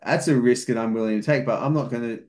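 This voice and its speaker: A man's voice: medium pitch, fast talker, a little mellow. A man speaking as a podcast guest in a casual chat, explaining things methodically at length, with fillers and restarts. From outside New Zealand. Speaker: podcast guest, fitness coach.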